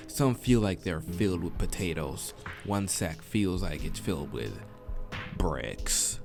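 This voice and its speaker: Guy with a lowish voice reading out a visual novel, casual, trips on words, soft music under it. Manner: informal, stumbles over the text and restarts. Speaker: let's player reading a visual novel aloud. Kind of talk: story narration with casual asides. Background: game soundtrack music.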